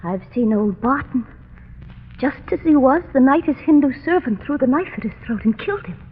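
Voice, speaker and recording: An actress playing a fragile, haunted young heiress, formal and dramatic in the manner of 1930s radio melodrama. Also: female voice, sits high, lively intonation, normal speed, breathy edge. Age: young adult. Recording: muffled, echoing 1930s radio recording.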